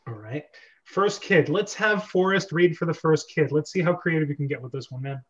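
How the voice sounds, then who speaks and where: Man, fairly high voice, host, casual directing chat, muffled video-call sound. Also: moderate pace. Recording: dull video-call audio.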